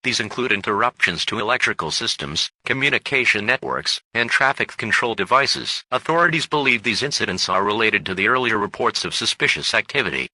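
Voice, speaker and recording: Very bright, forceful male alert announcer. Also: on the low side, animated, fairly quick, hardly any pauses, no room sound, rough recording. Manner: formal, impersonal. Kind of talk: scripted emergency broadcast announcement.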